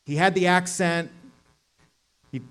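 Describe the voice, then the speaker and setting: A man's voice: sits high, fairly even intonation, slow pace, a bit bright, clean tone. A middle-aged man preaching a sermon in a casual, conversational way, often asking "right?" and adding "like". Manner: casual, lots of "like" and "right?" asides. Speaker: pastor.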